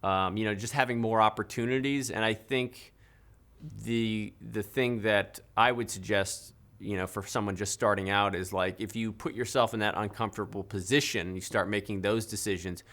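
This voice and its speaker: A man's voice: fairly low pitch, steady tone, normal speed, a bit bright. A man in his thirties hosting a live call-in stream from a dim studio desk, talking loosely with fillers and restarts. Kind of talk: casual live-stream chat.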